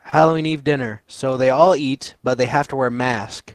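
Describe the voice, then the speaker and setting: A man's voice: medium pitch, normal speed, no echo. Brash teenage guy reviewing movies. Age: teens. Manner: brash, swearing, rambling with fillers. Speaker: co-host. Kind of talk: casual movie review chat.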